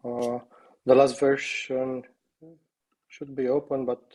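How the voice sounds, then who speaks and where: A slightly hoarse man speaking on a video call as a company co-founder, opening a webinar presentation in a halting, modest way. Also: medium pitch, flat delivery, a little mellow, low-quality recording. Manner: halting, self-deprecating.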